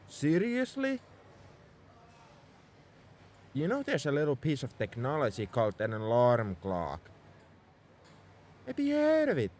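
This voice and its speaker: A man's voice: fairly high pitch, a little hiss or hum. A man playing a tsundere mech engineer in a roleplay audio drama, scolding and sarcastic with worry underneath. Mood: exasperated, scolding. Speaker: voice actor.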